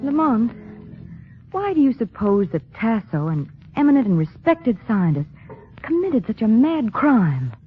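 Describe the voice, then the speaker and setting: A woman's voice: fairly high pitch, sing-song, a little slow, soft background music. An actress playing a spirited, defiant young woman sleuth, emphatic and theatrical, in a 1940s radio drama. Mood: playful. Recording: muffled, hissy old radio recording.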